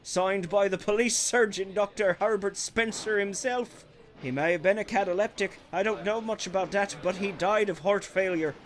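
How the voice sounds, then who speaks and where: A man playing a jovial, dismissive police constable in a radio drama, chatty and amused. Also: high-pitched.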